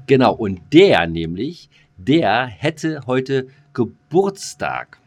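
A man's voice: mid-range voice, very animated, very slow. A man co-hosting a podcast in casual comedic banter, rambling and throwing in jokey asides. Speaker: podcast co-host.

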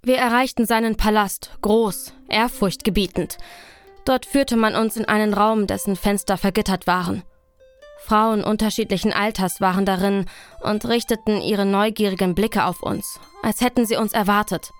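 A woman narrating an audio drama in first person, calm and measured. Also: mid-range voice, soft background music.

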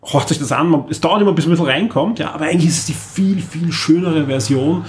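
A man's voice: on the high side, quick pace, slight background noise. A man co-hosting a podcast, chatting casually and rambling with many fillers and restarts. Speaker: podcast co-host. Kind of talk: casual chat about radio plays.

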